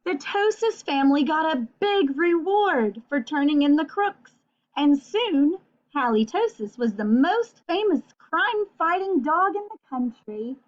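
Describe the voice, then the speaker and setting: High-voiced woman reading to children, unhurried. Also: very animated. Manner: playful, asks listeners questions. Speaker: storyteller reading a picture book aloud to children. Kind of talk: animated children's read-aloud with asides to the listener. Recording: dull, lo-fi sound.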